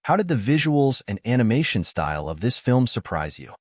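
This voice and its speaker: A man's voice: mid-range voice, big pitch swings, brisk, no echo, clean studio sound. A man working as an entertainment interviewer, asking questions in a friendly, conversational way during a press interview.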